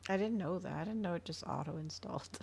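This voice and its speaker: A woman's voice: fairly low pitch, fairly quick, gravelly, darkish tone, breathy edge. A woman livestreamer chatting casually to her viewers, rambling, trailing off and thinking aloud. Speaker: livestreamer hosting an ASMR and gaming channel.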